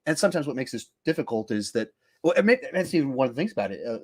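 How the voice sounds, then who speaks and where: A man's voice: mid-range voice, big pitch swings, very fast, darkish tone. Male podcast host, mid-range voice. Casual, discursive talker who stumbles over words while thinking aloud. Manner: rambling, thinking aloud with stammers and repeated words.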